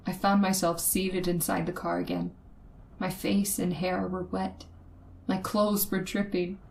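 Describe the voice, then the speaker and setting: A woman's voice: on the low side, slight background noise, low-quality recording. An actress playing a frightened young woman held captive by a killer, telling her story in a suspenseful old-time radio horror drama. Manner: breathy dramatic suspense, hushed and halting when afraid.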